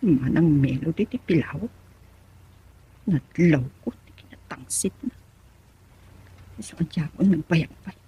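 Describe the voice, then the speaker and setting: An actress playing a lively woman friend in an Ilocano radio love drama, with an Ilocano accent and an expressive, husky delivery. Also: low voice, unhurried, pauses often, highs cut off, full character voice. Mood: pensive. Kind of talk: Ilocano radio drama acting with narrated inner thoughts.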